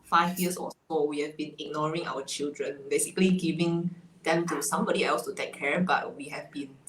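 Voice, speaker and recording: Low-voiced Malaysian woman, echoey room. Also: steady tone, brisk. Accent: Malaysian English. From Malaysia (Sarawak). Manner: chatty, laughs between phrases. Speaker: guest. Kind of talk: casual interview chat. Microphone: video call. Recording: echoey room, faint ambient noise.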